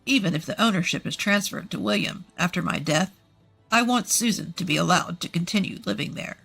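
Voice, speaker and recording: A woman voicing a calm, firm older husband and father in a narrated family story. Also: fairly low pitch, a little fast, gravelly, breathy edge, soft background music, pitched below the performer's own voice, full character voice.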